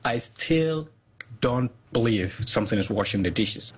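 A man's voice: mid-range voice, lively intonation, a little slow, husky. A man in his twenties from Somalia, chatting with amused wonder in an old documentary clip. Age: 20s. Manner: amused, laughing, wide-eyed. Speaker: documentary interviewee. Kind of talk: casual interview.

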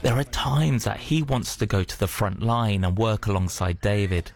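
A man reading scripted documentary narration in measured, complete sentences. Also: fairly low pitch, creaky.

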